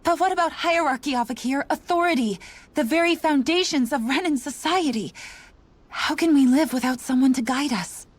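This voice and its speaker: An actress playing a proud, doubtful young highborn woman in a game, earnest and questioning in manner. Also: moderate pace, natural voice. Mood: distressed, disbelieving.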